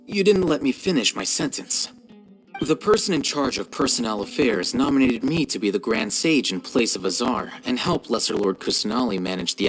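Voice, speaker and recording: An actor voicing an aloof, intellectual male scholar, calm and measured, with a dry, matter-of-fact manner in a video game. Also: medium pitch, rough recording.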